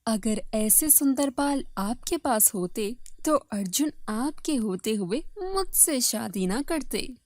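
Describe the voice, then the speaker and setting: A woman's voice: fairly high pitch, lively intonation, slow pace, few pauses, a little mellow, dry recording, studio quality. A woman voicing a vain, haughty young second wife, proud of her looks, in a dramatized story. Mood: smug.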